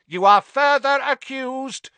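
A man voicing a stern, hateful older judge in a storybook, loud and formal, declaiming in a bellow. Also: medium pitch, sing-song, a little slow, no echo, voice pushed up. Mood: bellowing, accusing.

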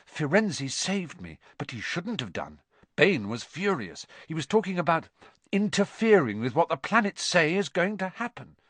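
A man's voice: a little high, big pitch swings, moderate pace, fairly bright tone, dry recording, voice pushed up. A man voicing an earnest, agitated young boy in an audiobook, with an urgent, feverish manner. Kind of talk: audiobook character dialogue.

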